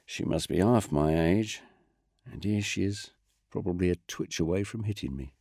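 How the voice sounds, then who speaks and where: Weary, flat-voiced squadron commander read by a man, very deep and dry. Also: moderate pace, no room sound. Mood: brooding.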